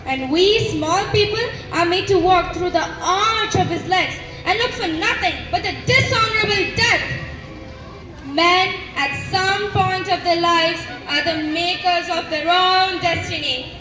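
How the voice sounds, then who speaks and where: A schoolchild as a high-pitched resentful Roman conspirator. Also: unhurried. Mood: resentful, urgent. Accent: Indian. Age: teenager. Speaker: student actor in a school play. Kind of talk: school stage play acting. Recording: roomy stage recording with loud music.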